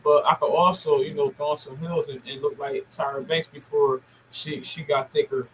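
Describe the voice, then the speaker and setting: An American woman from Camden with a deep voice, chatting casually as an interview guest in a bossy, assertive manner with heavy swearing. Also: steady tone, normal speed, slight background noise, low-quality recording. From Camden, United States. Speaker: podcast guest, music artist.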